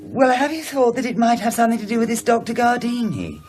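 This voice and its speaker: Guy playing a gently probing dinner guest, high swooping voice, faint music, radio play. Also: big pitch swings, fast. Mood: tentative. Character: tentative, curious dinner companion offering theories. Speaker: actor. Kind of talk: British radio horror drama acting.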